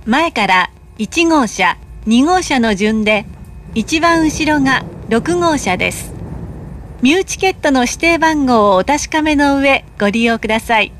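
Woman, high voice, slow pace, polite recorded transit announcement, careful enunciation. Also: lively intonation, slight background noise. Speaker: train announcer. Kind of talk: recorded public announcement, scripted.

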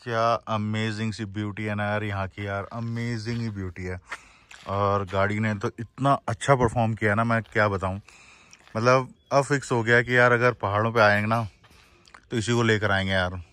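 A man in his thirties narrating a casual travel vlog while driving mountain roads and touring a hotel, chatty and excitable, mixing English into his Hindi. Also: fairly low pitch, normal speed. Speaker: travel vlogger. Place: in a car on mountain roads and at a hill resort.

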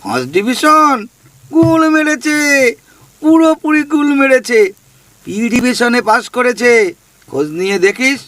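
A man's voice: very high-pitched, expressive, measured pace, pauses often, a bit bright, no echo, full character voice. A man playing a teasing, gossipy ghost in a comic audio drama, talking with mocking familiarity and scolding questions. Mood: gloating gossip. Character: cheeky male ghost, teasing gossip who talks with folksy familiarity.

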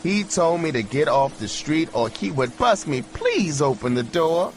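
A man voicing a desperate young female street hustler who talks loud and frantic. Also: fairly high pitch, sing-song, a little slow, slight background noise, voice pushed up. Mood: pleading. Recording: music bed behind the voice.

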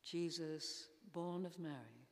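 An older woman leading formal prayers in a solemn, measured way, reading with pauses between phrases. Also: sits low, a little hiss or hum. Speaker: church intercessor leading prayers. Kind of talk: formal read liturgical prayer. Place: large church interior. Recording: echoey church hall, long reverb.

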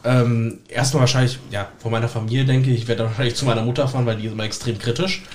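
Podcast guest, male, twenties, medium-pitched voice, informal conversational delivery. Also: steady tone, fast talker, darkish tone, slight background noise. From North Rhine-Westphalia, Germany. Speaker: podcast guest, stage magician. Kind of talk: casual chat.

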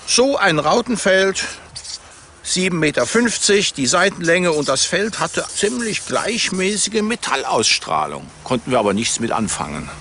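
A man's voice: high-pitched, sing-song, measured pace, fairly bright tone. Older man explaining outdoors, faint background noise. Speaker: treasure hunter and architect, interviewee. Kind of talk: explanatory interview talk. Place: outdoors in a meadow by trees.

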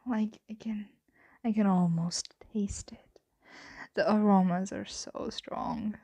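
A woman acting a flirtatious, teasing roommate playing vampire in an intimate ASMR roleplay, speaking softly and often whispering, with hesitant murmurs. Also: mid-range voice, steady tone, takes it slowly, pauses often. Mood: flirtatious, hungry. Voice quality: soft, breathy, frequently whispering.

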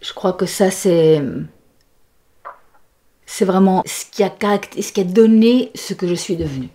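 A woman's voice: mid-range voice, measured pace, warm tone. A sixty-year-old woman speaking reflectively in an interview, searching for words and repeating them. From born in the United States, moved often. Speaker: puppeteer. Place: furnished workshop room, indoors.

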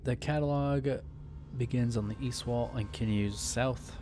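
A man's voice: mid-range voice, moderate pace. A man giving casual, laid-back gameplay commentary, often muttering to himself. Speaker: gaming streamer, let's player. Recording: background noise, keyboard clatter.

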